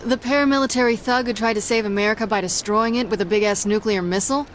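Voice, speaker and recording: A woman's voice: a little high, fast, slight background noise, close to an everyday voice. Brash, sarcastic game heroine played by a woman. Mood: wry. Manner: blunt, sarcastic, swearing. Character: brash, foul-mouthed, sarcastic female action-game hero. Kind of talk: video game dialogue.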